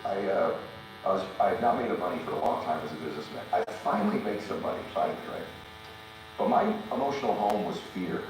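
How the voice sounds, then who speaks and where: The deep-voiced man you would hear as a motivational podcast guest, emphatically telling his life lessons, heard from across a very reverberant room. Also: fast talker. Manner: emphatic, repeats phrases for effect. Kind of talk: motivational interview talk with personal stories. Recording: roomy echo, distant mic, steady electric buzz and hum.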